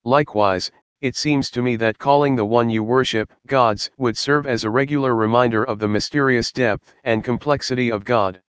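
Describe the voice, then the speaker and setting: A man reading a scripted Bible study in a measured, earnest, explanatory way. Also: medium pitch, fairly even intonation, smooth, no room sound. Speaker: Bible teacher.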